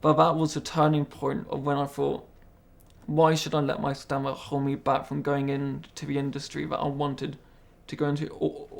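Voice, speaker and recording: A young man with a stammer answers interview questions in a careful, measured way, sometimes repeating words. His voice sits fairly high for a man with a gravelly edge. He keeps a flat, steady tone throughout. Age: young adult. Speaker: interviewee, apprentice. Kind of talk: interview conversation.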